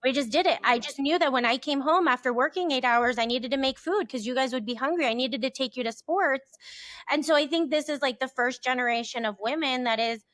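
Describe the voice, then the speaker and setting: A woman's voice: high-pitched, brisk, fairly bright tone, dry recording. A woman co-hosting an interview podcast, chatting casually and filling with "like". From United States. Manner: chatty, lots of "like". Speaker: podcast co-host.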